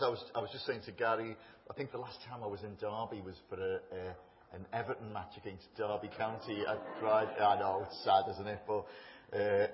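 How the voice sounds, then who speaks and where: A middle-aged man with a Liverpool accent speaks from a lectern in a church. His voice sits low and carries a long, ringing echo off the hall. His talk is chatty, self-deprecating and full of humour. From England. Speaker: guest speaker. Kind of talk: informal talk to a church congregation. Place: church building. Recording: echoey hall, slightly dull sound.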